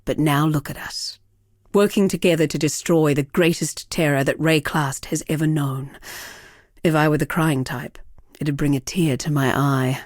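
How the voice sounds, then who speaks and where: An actress playing a proud, sardonic villainess and world-weary killer in a video game, speaking in a theatrical, measured way. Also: female voice, sits low, steady tone, dry recording, clean studio sound, lightly acted. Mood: wry, menacing. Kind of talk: dramatic scripted game dialogue.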